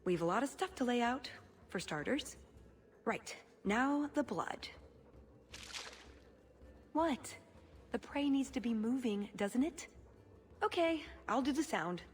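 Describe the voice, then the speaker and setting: A brash, eager huntress from a fantasy game. She has a fairly high voice and talks bluntly and playfully, over faint noise. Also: animated, slow, pauses often. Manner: blunt, cheeky.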